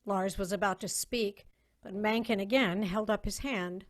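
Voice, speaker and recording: A woman's voice: mid-range voice, steady tone, moderate pace. A woman reading scripted narration for a dramatic science fiction story.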